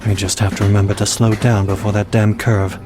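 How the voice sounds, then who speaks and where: A man playing a gruff, fatalistic steam train engineer in an audio drama, speaking in a terse, blunt way. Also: on the low side, flat delivery, a little fast, music in the background, lightly acted. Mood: pensive, wary. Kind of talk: amateur audio drama acting.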